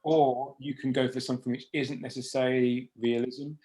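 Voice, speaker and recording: A man's voice: mid-range voice, little pitch movement, normal speed, dark tone, poor audio. A man hosting a panel discussion in a chatty, informal, conversational way.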